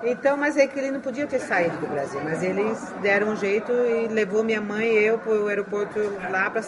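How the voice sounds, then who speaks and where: A middle-aged woman from Brazil, raised in China, answering interview questions in a halting, casual way with many restarts and tag questions. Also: medium pitch, background noise.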